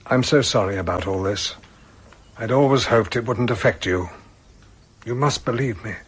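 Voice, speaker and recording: An ailing retired British army colonel in a radio drama. His voice is low and badly husky, breathy at the edges. He speaks formally and haltingly, weighed down with remorse. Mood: remorseful. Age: older.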